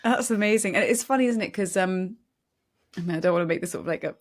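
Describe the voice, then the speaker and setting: A woman's voice: medium pitch, fast. A woman hosting a podcast, talking in a chatty way with rambling asides and enthusiasm. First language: French. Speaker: podcast host. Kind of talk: conversational interview. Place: Barcelona.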